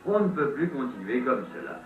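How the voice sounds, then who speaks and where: A man narrating a scripted documentary in a 1950s French newsreel style, telling the story theatrically with dramatic pauses. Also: mid-range voice, very animated. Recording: old tinny band-limited film soundtrack, roomy, distant.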